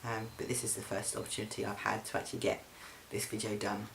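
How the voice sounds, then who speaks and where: Flat-voiced young Englishman vlogging. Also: fairly low pitch, quick pace, creaky, a little hiss or hum. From England. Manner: chatty, frequent ums and restarts. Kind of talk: casual video diary. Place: flat, indoors.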